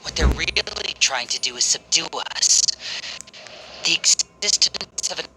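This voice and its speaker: An actor playing an earnest, loyal young man in an anime-style video game, explaining things in a measured way. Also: on the high side, animated, background noise. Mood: grave, resigned.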